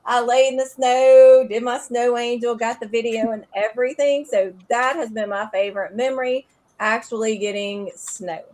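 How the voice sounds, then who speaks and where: A woman's voice: on the high side, fairly even intonation, normal speed, a little mellow. A woman in her fifties from Alabama with a Southern accent, telling a friendly story as a guest on a casual video call. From Alabama, United States. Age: 50s. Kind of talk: casual chat.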